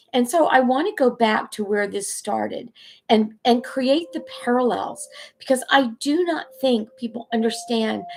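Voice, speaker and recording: A woman's voice: on the high side, vocal fry, a little mellow, slight background noise. A middle-aged American woman hosting her own channel, talking earnestly and emotionally to camera with fillers and restarts. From United States. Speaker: channel host. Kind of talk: personal talk to camera.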